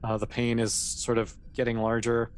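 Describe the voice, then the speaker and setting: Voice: mid-range voice, completely flat delivery, moderate pace, slight background noise. A game master narrating a tabletop game off the cuff, explaining things in a loose, conversational way full of asides.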